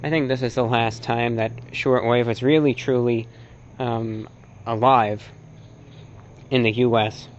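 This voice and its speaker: A man with an American accent and a medium-pitched voice delivers an off-the-cuff, opinionated talk. He speaks haltingly but with emphasis, often restating short phrases to drive a point home. The recording is somewhat dull and lo-fi. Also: steady tone, slight background noise. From North America. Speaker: presenter, shortwave radio enthusiast. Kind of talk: unscripted opinionated lecture to camera.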